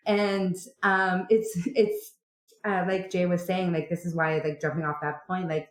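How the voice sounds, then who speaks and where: A woman's voice: fairly low pitch, flat delivery, measured pace. A Canadian woman, an opera singer, chatting animatedly in a podcast interview, full of fillers and likes. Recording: roomy echo.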